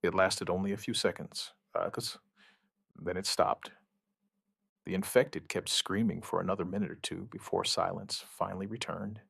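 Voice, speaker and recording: Deep male narrator, creaky edge, dry close sound, grave measured phrasing, first-person horror account. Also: fairly bright tone, dry recording. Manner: grave, measured, in short clipped phrases with dramatic pauses.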